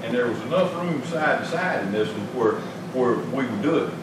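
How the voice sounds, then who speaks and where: A middle-aged man preaching a sermon in a small church, talking conversationally with rhetorical questions and swinging between raised emphasis and quiet asides. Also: medium pitch, expressive, normal speed. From Georgia, US. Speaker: pastor. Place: small church sanctuary, indoors. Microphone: stand mic at pulpit, filmed by far camera. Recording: very echoey church room, distant mic, noisy.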